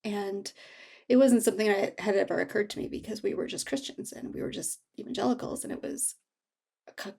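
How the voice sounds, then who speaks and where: A woman speaking reflectively as an interview guest, halting and thoughtful as she searches for her words. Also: mid-range voice, vocal fry, darkish tone. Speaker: author, guest.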